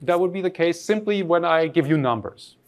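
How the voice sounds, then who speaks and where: Animated, thoughtful male lecturer from Austria. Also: on the high side. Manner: thoughtful, halting with frequent rephrasing. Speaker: academic lecturer. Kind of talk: reflective academic lecture, spoken off the cuff.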